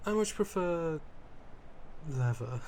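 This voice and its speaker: A man's voice: fairly high pitch, animated, slow pace, a little mellow, slight background noise. A man playing a theatrical, fussy vampire aristocrat in an ASMR roleplay, with pompous old-fashioned wording, flustered stammers and dramatic emphasis. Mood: smug, teasing. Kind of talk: ASMR roleplay character acting, addressing the listener.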